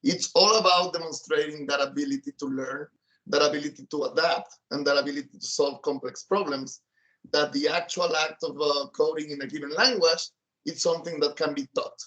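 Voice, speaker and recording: A man's voice: a little high, fairly even intonation, fairly quick, fairly bright tone, lo-fi sound. A man from Mexico City giving a keynote over a video call, listing points and checking in with "right?". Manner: lists points, tags with "right?". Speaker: keynote speaker, software engineer. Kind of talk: keynote talk.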